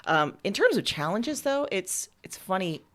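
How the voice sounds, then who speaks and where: A woman's voice: medium pitch, very animated, moderate pace, a bit bright. A woman giving casual, candid interview answers as a writer, talking informally. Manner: candid, informal, occasional swearing. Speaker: interviewed writer and audio drama creator.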